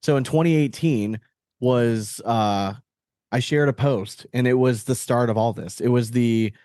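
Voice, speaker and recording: A man's voice: mid-range voice, a little slow, mellow, no echo, clean studio sound. A man co-hosting a podcast in casual banter, swearing freely and airing blunt opinions. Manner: profane, opinionated. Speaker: podcast co-host.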